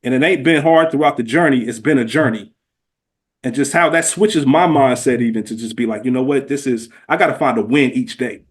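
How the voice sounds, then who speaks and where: A man's voice: medium pitch, brisk. A middle-aged man telling stories in casual, slangy podcast chat, stressing points emphatically and repeating words as he goes. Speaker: podcast guest.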